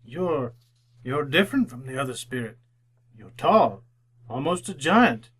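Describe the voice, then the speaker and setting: An actor playing an anxious, humbled old miser in a radio drama, halting and earnest. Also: male voice, mid-range voice, very animated, breathy edge. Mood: awed, uneasy. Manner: halting, repeating words, earnest.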